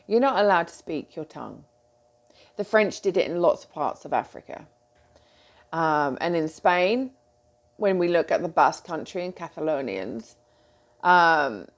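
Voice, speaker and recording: A middle-aged British woman talking casually to her followers as a coach, chatty and animated, with frequent ums and asides. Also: lowish voice, vocal fry. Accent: British English. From Britain. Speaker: coach and host. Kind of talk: casual talk to camera.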